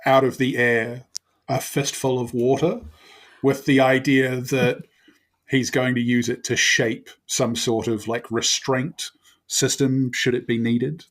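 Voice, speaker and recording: A man's voice: medium pitch, level tone, slow pace. A man chatting casually as a player in a tabletop game, wry and playfully formal in his wording. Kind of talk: casual improvised game chat.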